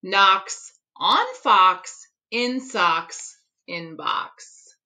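Animated woman reading slowly to children. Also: mid-range voice. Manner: deliberate, carefully articulated tongue twisters. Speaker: teacher reading aloud. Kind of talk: expressive children's picture book read-aloud. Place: living room at home.

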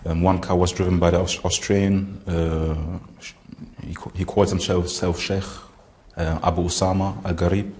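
A man, recounting events haltingly in an interview and searching for words. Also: deep voice, little pitch movement, darkish tone, slight background noise. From Germany. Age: 20s. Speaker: interviewee, former militant. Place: indoor room with barred windows.

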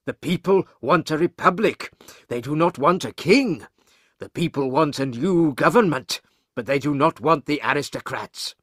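A man voicing a banker who gravely delivers alarming news in measured, emphatic sentences, in an audiobook. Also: mid-range voice, lively intonation, a bit bright, dry recording, studio quality. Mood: grave, urgent.

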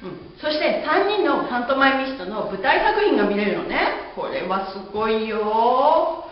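A woman's voice: fairly high pitch, sing-song, moderate pace. A woman in her thirties presenting a playful lesson to camera with a bubbly, girlish, theatrical delivery, recorded in a bare indoor room on a camera microphone. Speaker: host and pantomime instructor. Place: bare indoor room with curtained wall and hard floor. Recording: very echoey room, slightly dull audio.

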